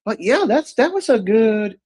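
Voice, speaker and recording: A man with a husky voice, co-hosting a casual chat about movies, rambling with lots of fillers and restarts. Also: very high voice, very animated, unhurried, a little mellow. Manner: rambling, many fillers and restarts, chuckles often. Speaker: co-host.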